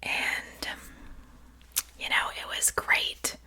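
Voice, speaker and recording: A woman whispering gently and without hurry in an ASMR roleplay, playing a polite but wicked witch in a breathy voice. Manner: gentle, unhurried, softly chatty. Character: wicked but polite witch seasoning her victim for stew. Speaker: ASMR performer.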